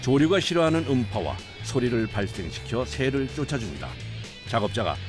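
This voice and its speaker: A man narrating a documentary in a calm, scripted and informative way. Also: mid-range voice, brisk, over music. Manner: calm, even informative delivery.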